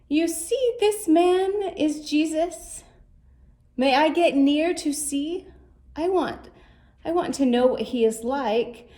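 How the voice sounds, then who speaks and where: A woman's voice: sits high, takes it slowly, mellow, voice pushed up. A woman voicing a small, eager and pushy rich male tax collector in a children's storybook, in an exclaiming way. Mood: eager, curious. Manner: pleading, indignant, exclaiming. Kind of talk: storybook character voice.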